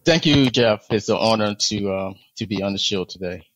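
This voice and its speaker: A man from Liberia, in his thirties, telling his life story as a podcast guest in a measured way, with many pauses and restarts. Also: lowish voice, dry recording, low-quality recording. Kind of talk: interview storytelling.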